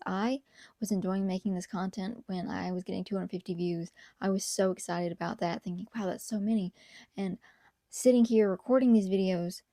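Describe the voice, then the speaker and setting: A hoarse, tired woman whispering at home at night, chatting casually as host of her own channel. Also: medium pitch, no echo, clean studio sound. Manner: whispering softly; apologetic, tired but upbeat. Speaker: video essay host.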